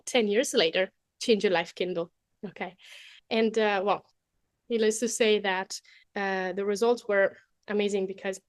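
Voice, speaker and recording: A woman's voice: medium pitch, no room sound. A young adult woman with an Italian accent presents a webinar in a chatty way, often adding "okay?" and fillers. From Italy.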